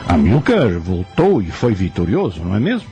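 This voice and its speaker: A man's voice: low voice, huge pitch swings, moderate pace, warm tone, exaggerated voice. A man playing an inquisitive scholar in a radio drama, earnestly asking questions about the past. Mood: curious. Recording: music under the voice.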